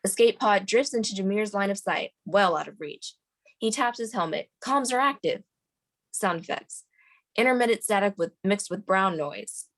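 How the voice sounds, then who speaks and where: A woman's voice: medium pitch, animated, moderate pace, a bit bright. A woman reading scene directions as the narrator at a screenplay table read.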